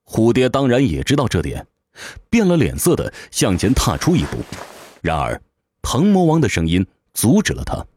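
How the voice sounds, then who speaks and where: A man's voice: mid-range voice, huge pitch swings, moderate pace, a little mellow, a little hiss or hum. A man narrating a fantasy audio drama from a script in a theatrical, suspenseful manner.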